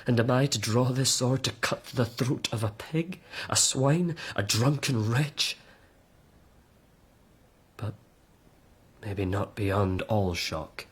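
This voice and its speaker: An actor in a radio drama playing a high-minded warrior lord disgusted by decadence, grave, lofty and declamatory. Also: male voice, takes it slowly, pauses often, slightly rough. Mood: anguished, commanding.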